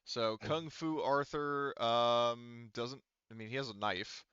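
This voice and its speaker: A man running a casual online tabletop roleplaying game as game master, thinking aloud haltingly as he works through the rules. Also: mid-range voice, fairly even intonation, a bit bright, smooth, no echo, muffled recording.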